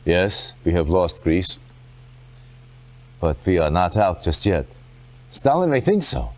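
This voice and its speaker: Low, very expressive military commander. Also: male voice, heavily acted. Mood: resolute. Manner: dramatic, formal, deliberate briefing. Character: stern, resolute male Allied military commander.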